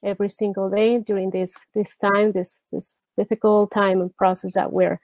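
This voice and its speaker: A middle-aged woman chairing a school board meeting from home over a video call, speaking earnestly with halting restarts. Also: medium pitch, little pitch movement, darkish tone, dry recording, rough recording. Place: home room with brick wall. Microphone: earbud headset on video call.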